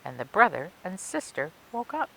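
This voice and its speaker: A woman reading and explaining things for children in an expressive way, with simple, clear phrasing, like a children's librarian. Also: fairly low pitch, normal speed, low-quality recording. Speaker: children's librarian reading a story and demonstrating a craft. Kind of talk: read-aloud storytelling and craft instructions for children.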